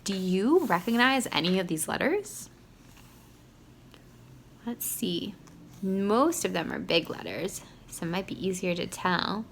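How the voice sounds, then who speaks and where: A woman reading a picture book aloud to young children in an animated, teacherly way. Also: mid-range voice, a little slow, pauses often, a little hiss or hum. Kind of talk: expressive children's read-aloud.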